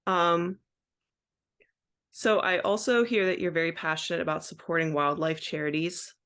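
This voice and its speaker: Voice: medium pitch, fairly even intonation, moderate pace, a bit bright, smooth. An interviewer with an Ohio accent who asks casual questions and reacts briefly. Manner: friendly, short reactions and questions.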